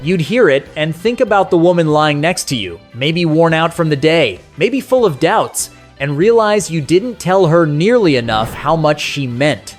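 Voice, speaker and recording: Man with higher-pitched voice, nostalgic countdown narration. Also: lively intonation, moderate pace, soft background music. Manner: conversational, sentimental, addresses the listener directly. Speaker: narrator and host of a music countdown video.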